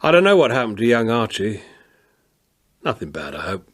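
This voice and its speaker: Avuncular older constable for radio drama, expressive intonation, plain-spoken, quietly authoritative. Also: male voice, lowish voice, normal speed. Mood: wistful storytelling. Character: avuncular, firm but kindly London beat policeman. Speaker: police constable and narrator. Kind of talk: naturalistic radio drama acting and storytelling.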